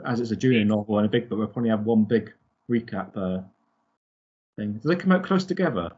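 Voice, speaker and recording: A man hosting a casual fan podcast in a hesitant, halting way, on a dull lo-fi recording. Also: on the low side, darkish tone, breathy edge. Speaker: podcast host. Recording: dull lo-fi audio.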